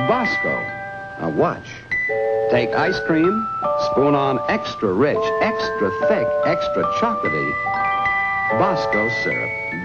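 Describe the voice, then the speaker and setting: Animated man in a dull vintage ad recording. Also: medium pitch. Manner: exaggerated, enthusiastic selling to children. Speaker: commercial announcer. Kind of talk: vintage children's TV advertisement pitch. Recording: dull lo-fi vintage ad, music behind.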